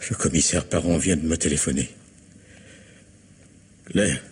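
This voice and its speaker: An actor playing an earnest, bereaved middle-aged husband in a vintage French radio drama, grave, halting and formal. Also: male voice, a bass voice, husky, full character voice. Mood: stunned, grieving. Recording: faintly noisy old radio recording.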